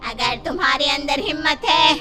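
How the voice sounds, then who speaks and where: A woman voicing a menacing, defiant evil spirit woman in an animated horror story. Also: high voice, moderate pace, natural voice. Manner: threatening, defiant. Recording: music behind the voice.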